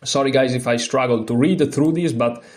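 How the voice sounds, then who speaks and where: A man with an Italian accent, a non-native speaker, hosting a chess lesson, reading a book aloud haltingly with fillers and restarts, then explaining more freely. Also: medium pitch, darkish tone. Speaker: chess channel host.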